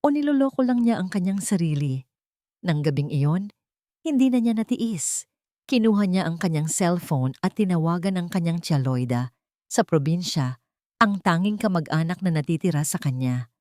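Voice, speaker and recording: A woman with a Filipino accent narrates a dramatic audiobook story in measured, expressive short phrases. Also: fairly low pitch, no room sound, studio quality.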